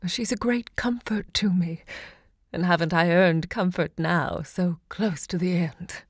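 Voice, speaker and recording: An actress playing an elderly, unrepentant literary hostess in an audio drama, measured, poetic and intimate. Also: female voice, fairly low pitch, slightly rough, no room sound, clean studio sound. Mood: soothing, tender. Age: older.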